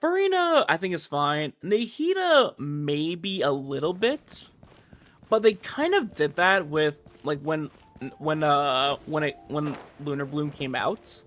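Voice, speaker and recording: A young man livestreaming a game, chatting casually in a rambling, halting way with animated emphasis. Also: on the high side. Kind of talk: casual livestream chat over gameplay.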